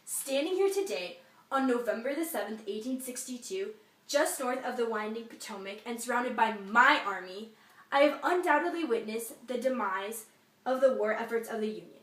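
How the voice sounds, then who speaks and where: A woman's voice: on the high side, animated, normal speed, lightly acted. A woman playing a boastful, bitter dismissed male Civil War general in a pompous, formal soliloquy. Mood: bitter, indignant. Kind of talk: memorized history class soliloquy. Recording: echoey room, distant microphone.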